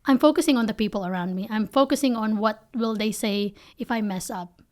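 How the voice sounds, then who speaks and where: A woman's voice: medium pitch, a little fast, a little mellow. A woman in her thirties from the Philippines with a Filipino accent, answering interview questions earnestly and often saying "you know", on a desk podcast mic in a small office. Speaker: guest, company founder. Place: small office studio with bookshelves. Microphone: desk podcast mic on boom arm.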